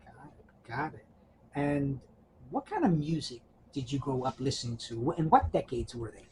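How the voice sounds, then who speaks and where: Mellow-voiced male archivist interviewing. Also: medium pitch, very animated, moderate pace, a little hiss or hum. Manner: friendly, encouraging, with many "you know"s. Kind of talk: oral history interview.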